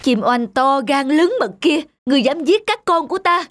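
A woman's voice: high voice, expressive, normal speed, a bit bright. A woman voicing a proud, dismissive mother eagle with a scolding manner in a cartoon fable. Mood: angry. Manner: haughty, scolding. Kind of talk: cartoon animal fable acting.

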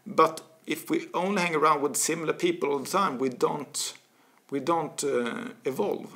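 Swedish-accented man, gravelly bright voice. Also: fairly high pitch, normal speed. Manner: animated, gestures a lot, repeats words while searching. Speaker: guest, author. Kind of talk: casual interview talk. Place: small office studio with bookshelves, indoors. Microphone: desk studio mic.

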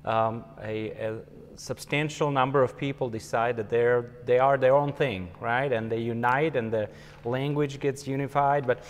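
A man's voice: medium pitch, steady tone, moderate pace, big-room sound. A man in his forties from Slovakia with a Slovak accent, answering interview questions thoughtfully with many hesitations. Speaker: conductor, interview guest. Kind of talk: conversational interview. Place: empty hall with a stage.

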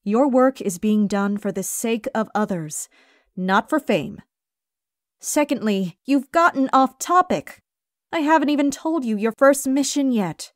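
A woman playing a stern, no-nonsense spy agency boss in an animated web series, firm and exasperated. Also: on the high side, a little slow, dry recording, studio quality. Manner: firm, exasperated, clipped orders.

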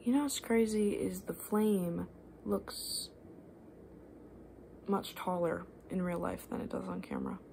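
A woman in her twenties doing soft-spoken, rambling ASMR, unscripted and self-deprecating, sometimes slipping towards a whisper. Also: medium pitch, steady tone, a little slow, creaky, long pauses. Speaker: ASMR video creator. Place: small living room at home, indoors. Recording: faint room noise on home recording.

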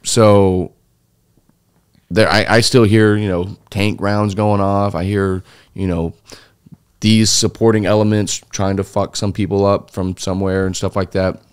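A man's voice: on the low side, level tone, a little slow, smooth, no echo, clean studio sound. A man, a guest on a podcast telling a long story in a loose, rambling way with many fillers and restarts. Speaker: interview guest, former foreign volunteer fighter. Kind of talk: casual interview storytelling. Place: small dark podcast studio. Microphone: studio mic on boom arm.

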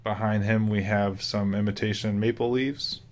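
A man's voice: on the low side, flat delivery, normal speed. A man giving a casual voice-over tour of his statue collection room, plain and matter-of-fact with frequent filler words.

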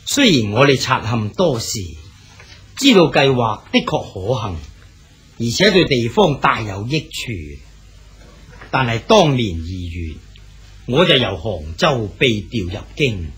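A man with a Cantonese accent, playing an official hosting an old friend in a radio drama, speaking in a slow, sighing way. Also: medium pitch, a bit bright, slightly rough, exaggerated voice.

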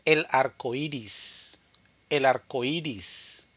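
A man teaching Spanish vocabulary in a scripted drill, speaking slowly and deliberately and saying each answer twice. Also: mid-range voice, a bit bright, slightly rough, no echo, highs cut off.

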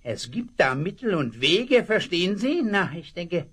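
A man's voice: high voice, very animated, a little slow, a bit bright, slightly rough, heavily acted. An actor playing a smug, menacing male landgrabber in a Western radio play, mocking and making veiled threats. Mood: threatening. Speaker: rival rancher. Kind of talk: 1970s German radio play acting.

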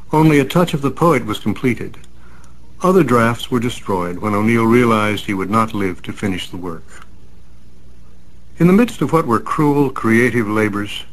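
A man reading a scripted commentary in a formal, literary, measured manner. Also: medium pitch, rough recording. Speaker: commentator, series artistic director.

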